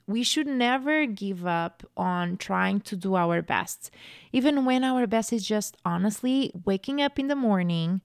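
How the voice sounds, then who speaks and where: Young woman, podcast host, earnest reflective monologue, vocal fry, dry close sound. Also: mid-range voice, dry recording. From Portugal. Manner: earnest, animated when telling a story.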